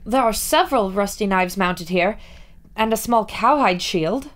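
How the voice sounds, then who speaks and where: An actress playing an observant, serious expedition member in a pulp radio adventure drama. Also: female voice, mid-range voice, expressive, measured pace, natural voice. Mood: searching.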